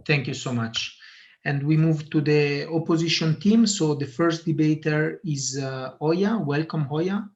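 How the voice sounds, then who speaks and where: A man with a slightly high voice hosts a debate over a video call. He halts often and fills gaps with ums and uhs. His audio comes through muffled and dull. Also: measured pace, darkish tone. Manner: hesitant, many fillers. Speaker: debate moderator. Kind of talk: hosting an online debate.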